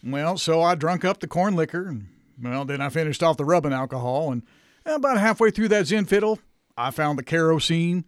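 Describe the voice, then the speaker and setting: Actor as backwoods Southern drunkard, highish male voice, dry close sound, rambling, folksy, good-natured, radio comedy. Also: expressive, a little fast, no echo, lightly acted. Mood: rambling confession. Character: hungover, good-natured backwoods drunkard country cousin man. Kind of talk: live radio comedy acting.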